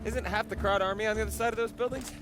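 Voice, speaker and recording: An actor playing a bookish young army private in a World War II game, dry and articulate. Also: male voice, high voice, fairly even intonation, rapid-fire, close to an everyday voice. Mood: questioning. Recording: very loud music, vehicles behind.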